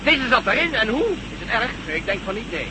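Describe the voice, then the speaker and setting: A man's voice: very high-pitched, big pitch swings, brisk. A man playing a cocky, sardonic pilot in a science-fiction radio play, wry and emphatic. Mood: excited, cocky. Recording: noisy old radio play, aircraft drone.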